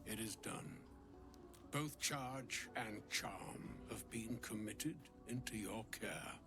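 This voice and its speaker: Grandiloquent old wizard, slow, creaky. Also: male voice, lowish voice. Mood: solemn, regretful. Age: older. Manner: grandiloquent, theatrical, archaic phrasing. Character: old solemn kindly wizard messenger. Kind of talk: video game dramatic acting. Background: music.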